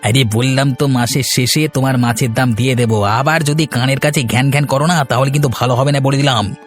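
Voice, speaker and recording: A man's voice: mid-range voice, fairly even intonation, quick pace, faint music behind, natural voice. A man playing a sly village schemer, by turns wheedling and bullying, in a cartoon. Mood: bullying, dismissive. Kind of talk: Bengali moral-story cartoon dubbing.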